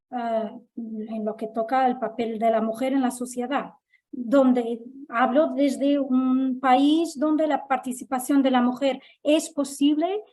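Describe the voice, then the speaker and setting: A woman from Portugal speaking with a Portuguese accent, giving a halting panel talk full of fillers and restarts. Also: high voice, a little mellow. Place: Lisbon.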